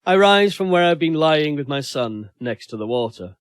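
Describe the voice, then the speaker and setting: A man's voice: a little high, big pitch swings, normal speed, clean tone, no room sound, clean studio sound. A man narrating first-person fiction as a dramatic audiobook reading.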